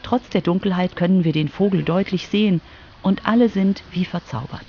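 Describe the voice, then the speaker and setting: Creaky-voiced radio feature narrator. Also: mid-range voice, moderate pace, highs cut off, slight background noise. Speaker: narrator, reporter.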